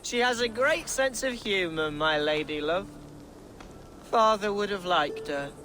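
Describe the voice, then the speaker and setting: An actor playing a fragile, scattered young Saxon nobleman, tipsy and lovestruck, in a slurring, halting video game performance. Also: high-pitched, lively intonation, bright, forceful tone, a little hiss or hum, heavily acted. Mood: tipsy, amused. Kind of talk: video game cutscene acting.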